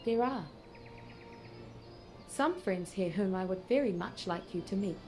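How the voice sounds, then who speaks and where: A woman's voice: mid-range voice, lively intonation, unhurried, a little mellow. A synthetic female voice playing a gracious, formal leader of an order in a fantasy game, speaking politely. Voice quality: synthetic text-to-speech delivery. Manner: polite, formal, archaic wording. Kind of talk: scripted fantasy game dialogue. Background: faint music.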